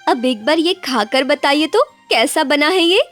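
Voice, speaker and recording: Woman doing a sensible young village wife in a cartoon, high voice, coaxing, bit of music behind. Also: animated, unhurried. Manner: coaxing, gently persuasive. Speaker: wife character. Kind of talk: animated cartoon dubbing.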